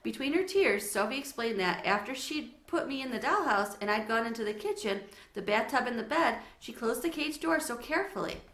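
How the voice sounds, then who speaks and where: A woman narrating a children's story aloud in an expressive read-aloud style. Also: a little high, fairly quick, hardly any pauses, roomy sound.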